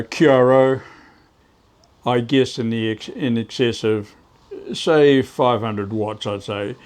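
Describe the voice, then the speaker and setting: A man with a medium-pitched voice presents a hands-on how-to in a casual, unscripted way. His delivery is halting, and he often breaks off mid-phrase to find the next word as he demonstrates. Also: darkish tone. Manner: halting, pausing mid-phrase to search for words. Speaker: presenter of DIY radio tips.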